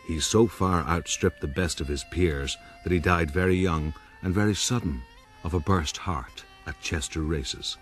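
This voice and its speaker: An actor playing a pompous, wry Irish gentleman rogue narrating his life in a radio drama. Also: rumbling low.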